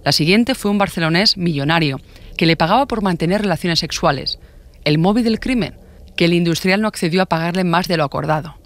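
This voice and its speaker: A man's voice: high-pitched, fairly quick, a bit bright. A man narrating a scripted true-crime documentary.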